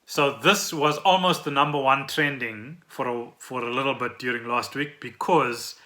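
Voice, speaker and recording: A man's voice: medium pitch, moderate pace, pushed and bright. A South African man hosting a podcast, explaining trending news in a conversational way and often checking in with the listener. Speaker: podcast host.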